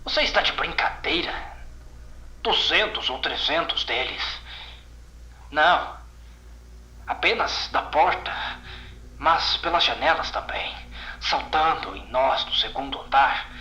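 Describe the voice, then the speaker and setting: A shaken field agent acted by a man recounts horror with bitter, haunted intensity. His Brazilian-accented voice is very tense and bright, set in an echoing space like a horror audio drama. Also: a little high, animated, slow, long pauses, full character voice. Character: shaken, bitter male containment agent recounting a horrifying mission.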